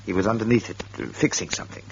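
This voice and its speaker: A man's voice: on the low side, rapid-fire, gravelly, a little hiss or hum. An actor playing an embittered, defensive man accused of murder, insistent and sardonic, in a radio drama. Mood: earnest, pleading. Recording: dull lo-fi radio recording.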